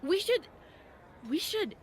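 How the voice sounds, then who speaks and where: High, animated, hot-headed young wrestler. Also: female voice, slow, slight background noise, full character voice. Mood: excited, defiant. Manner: excitable, emphatic, colloquial. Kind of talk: video game cutscene acting.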